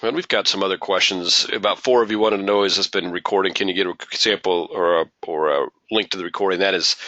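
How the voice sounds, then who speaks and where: A man's voice: sits low, steady tone, fast talker, pushed and bright, dry recording, highs cut off. A man hosting a webinar. He reads listener questions aloud and says he is losing his voice. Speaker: webinar host.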